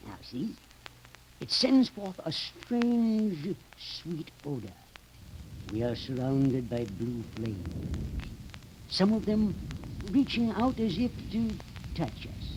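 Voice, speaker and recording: Sinister pompous professor, slow. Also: male voice, on the high side, darkish tone. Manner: pompous, portentous, deliberate. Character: sinister, pompous male professor of occult elixirs. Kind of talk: 1930s radio horror acting. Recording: noisy old 1930s radio recording.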